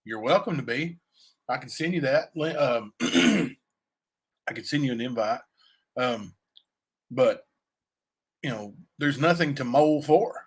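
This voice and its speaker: Raspy-voiced man chatting on a livestream. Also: medium pitch, slow, long pauses. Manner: rambling, many fillers and asides to chat. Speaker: livestream cohost; youth basketball coach. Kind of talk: casual livestream chat.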